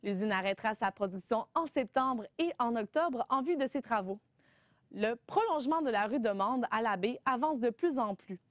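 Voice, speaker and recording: Higher-voiced woman anchoring the news. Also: animated, no echo, clean studio sound. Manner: smooth, measured delivery. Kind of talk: scripted newscast reading.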